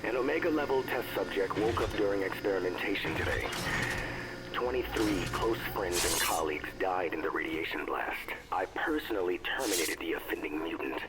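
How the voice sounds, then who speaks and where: A grave scientist, dictating his log in a fairly low voice. He speaks formally and solemnly, like someone documenting hard decisions in a video game. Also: animated, a little fast, background noise. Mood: grim, resolute. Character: grave male scientist recording his personal log, hardening against mutants. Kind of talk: audio log narration, video game acting.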